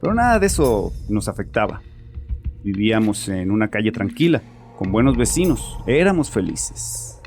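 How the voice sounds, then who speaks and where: A bitter, self-justifying father confesses his troubles in a horror audio drama. His low voice is sardonic and defensive, with music behind it. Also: very animated, moderate pace, a little mellow. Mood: confiding, nostalgic.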